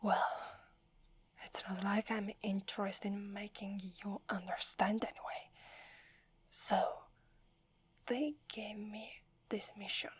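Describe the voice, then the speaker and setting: A woman's voice: mid-range voice, steady tone, takes it slowly, pauses often, slight background noise, natural voice. Woman whispering as a proud werewolf girl. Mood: embarrassed, defensive. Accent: Italian. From Italy. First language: Italian. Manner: haughty, grudging, defensive. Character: proud, wary young female werewolf, prickly tsundere. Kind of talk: ASMR roleplay.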